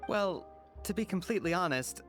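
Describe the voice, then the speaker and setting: A man's voice: high voice, expressive, fairly quick, faint music behind. A man playing a courteous, puzzled toy-workshop shopkeeper in a video game, speaking politely and a little hesitantly.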